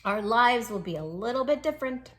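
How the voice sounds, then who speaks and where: A woman's voice: fairly high pitch, lively intonation, fairly quick, close to an everyday voice. A woman voicing a sweet, plucky little male dachshund who tells his own storybook tale with earnest, dramatic emphasis. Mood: plucky, reassuring. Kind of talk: children's storybook read-aloud.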